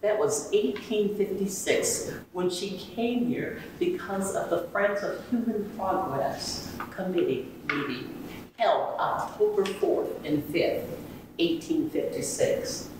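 A middle-aged woman reading narration in a measured, dramatic way in a museum hall. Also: mid-range voice, very animated. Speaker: reader. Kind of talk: readers theater narration. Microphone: far camera. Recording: echoey hall, distant camera, faint noise.

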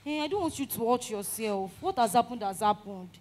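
Anxious, higher-pitched Nigerian woman acting a consoling friend. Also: lively intonation, a little fast, a little mellow, a little hiss or hum, natural voice. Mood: pleading, coaxing. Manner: pleading, repetitive, exclamatory. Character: anxious, caring young woman consoling her heartbroken friend. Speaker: actor in a dramatic skit. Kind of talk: emotional dialogue acting with some Pidgin.

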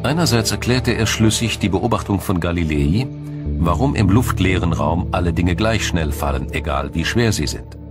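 A man reading scripted documentary narration in a measured, explanatory way. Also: low-pitched, lively intonation. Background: music.

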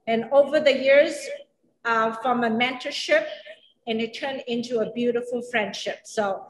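Middle-aged woman chef, unhurried and chatty, kitchen with long reverb. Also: on the high side, steady tone, slight background noise. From Singapore and Malaysia. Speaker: chef and culinary educator. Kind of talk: cooking demonstration. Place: large commercial kitchen. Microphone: camera at a distance.

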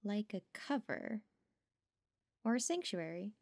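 A woman's voice: medium pitch, very animated, takes it slowly, darkish tone, dry recording. Woman acting curious bookish young woman, dry sound. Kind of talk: audio roleplay drama acting.

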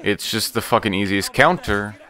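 A man's voice: lowish voice, normal speed, pushed and bright. A man giving casual, flippant gameplay commentary, joking and boasting with easy swearing. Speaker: gaming video host.

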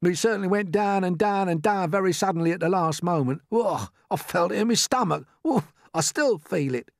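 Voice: mid-range voice, animated, fairly quick, no echo, studio quality, pitched above the performer's own voice, close to an everyday voice. A reader putting on a gloomy, grumbling earthworm. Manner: glum, complaining. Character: gloomy, grumbling male earthworm, sorry for himself.

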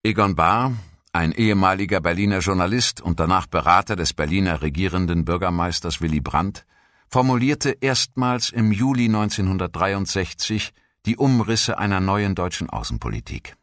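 A man reading scripted historical narration as a documentary narrator, in a measured, formal manner. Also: deep voice, a bit bright, dry recording, clean studio sound. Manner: measured, formal read-out delivery.

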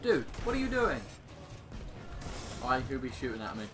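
A man's voice: mid-range voice, sing-song, normal speed. Sarcastic man commentating over game music. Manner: sarcastic, mild swearing, exclaims at the action. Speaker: gaming YouTuber. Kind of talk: casual gameplay commentary.

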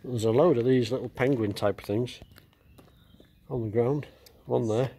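A man giving casual walkaround commentary as a vlogger, halting and thinking aloud as he goes. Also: medium pitch, warm tone. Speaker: vlogger exploring an abandoned building. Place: outdoors, walking around a building. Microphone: handheld camera mic. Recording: outdoor ambient noise.

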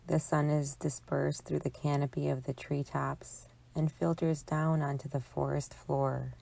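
A woman psychologist leading a guided relaxation meditation in a calm, soothing, measured delivery with long pauses. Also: low voice, gravelly.

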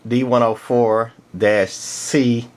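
A man giving a casual, unscripted walk-through in a halting, matter-of-fact way, like a hobbyist collector presenting his gear. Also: mid-range voice, fairly even intonation. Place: indoors, small room. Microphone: handheld camcorder's built-in mic.

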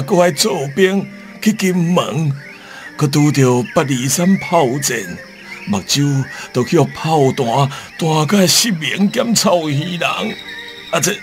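An actor playing a sorrowful elderly blinded war veteran in a radio drama, folksy and sighing as he reminisces. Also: a little high. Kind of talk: radio drama acting in Taiwanese Hokkien.

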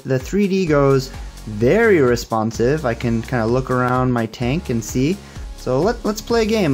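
A man's voice: fairly high pitch, darkish tone. A young man giving a casual, upbeat hands-on tech demo and tutorial in a conversational, explanatory way. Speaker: tech reviewer and presenter.

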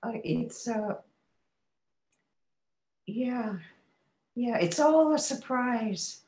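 Older woman author, slow and halting, many restarts; echoey home video-call sound. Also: fairly high pitch, lively intonation, a little mellow. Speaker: author and guest. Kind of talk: conversational interview answers. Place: home study with bookshelves, indoors.

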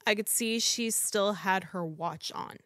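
A woman's voice: mid-range voice, unhurried, a bit bright, no echo, studio quality. A woman hosting a podcast, reading a prepared true crime script and apologizing for how she says foreign names. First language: English. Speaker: podcast host.

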